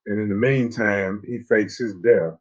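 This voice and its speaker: A man's voice: fairly low pitch, measured pace, dull audio. A man co-hosting a casual chat about a TV drama, laid-back, often restarting his sentences. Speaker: co-host of a TV discussion show. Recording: faint music behind.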